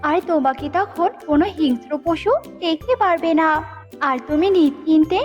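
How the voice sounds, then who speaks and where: Woman voicing a tiny, very high-pitched cartoon fairy. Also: normal speed, dull audio, lightly acted. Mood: kind, reassuring. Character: kind young female fairy, gentle helper. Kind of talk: cartoon dubbing. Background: music.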